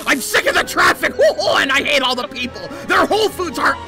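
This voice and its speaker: Casting: bombastic, petty mad scientist villain, high theatrical rant, comedy cartoon dub with prominent music. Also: male voice, expressive, moderate pace. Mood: whining, furious. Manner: ranting, theatrical, foul-mouthed. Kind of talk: improvised comedic cartoon game fandub.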